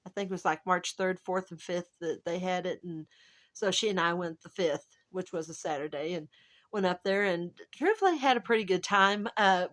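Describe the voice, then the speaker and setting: A woman with a hoarse, gravelly voice that is still recovering, chatting casually and rambling to camera at home as a travel vlogger. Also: lowish voice, normal speed, fairly bright tone. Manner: rambling, chatty, self-interrupting. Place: at home, indoors.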